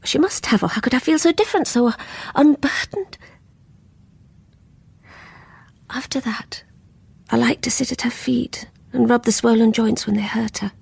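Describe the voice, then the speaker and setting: An obsessive, guilt-ridden English woman for radio drama. Her voice is markedly husky and breathy, often sinking towards a whisper. She speaks as if confessing, with brooding intensity. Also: on the high side, moderate pace, a little mellow, dry recording, full character voice. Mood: dreamy, unburdened. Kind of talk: radio drama acting with intimate first-person narration.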